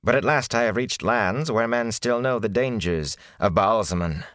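A man voicing a filthy, cringing male beggar who whines and then turns smooth, unctuous and haughty. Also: fairly low pitch, expressive, unhurried, fairly bright tone, dry recording, voice pushed up. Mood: oily.

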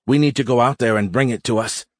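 A man's voice: mid-range voice, fast, no room sound, clean studio sound, pitched above the performer's own voice, close to an everyday voice. Man voicing a forthright, earnest woman pilot. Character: matter-of-fact, forthright woman pilot advising her commander.

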